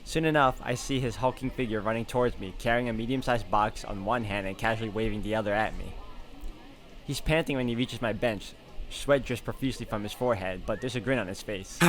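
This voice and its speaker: A man's voice: fairly low pitch, a little fast. A man hosting a game walkthrough, commenting and reading visual novel narration aloud. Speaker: gaming walkthrough host. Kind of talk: let's play commentary and read-aloud narration. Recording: game music clearly behind the voice.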